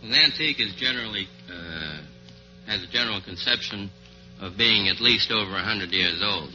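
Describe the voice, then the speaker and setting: Low, sharp-voiced man on old radio. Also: normal speed, soft background music. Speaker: contestant, antique dealer. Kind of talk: interview answers on a radio quiz. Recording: dull old-time radio recording.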